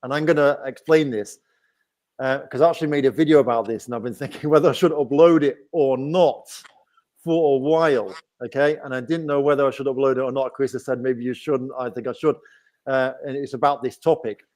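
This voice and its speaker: A man's voice: medium pitch, fairly even intonation, a little fast, darkish tone. A man with an English accent hosting a casual live-stream chat, explaining things at length with frequent restarts. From England. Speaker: host.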